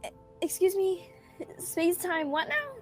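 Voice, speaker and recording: A woman's voice: very high-pitched, very animated, a little slow, faint music behind, exaggerated voice. A young woman playing an exasperated, incredulous girl adventurer in a casual-sounding radio theatre performance. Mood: bewildered. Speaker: student radio theatre actor.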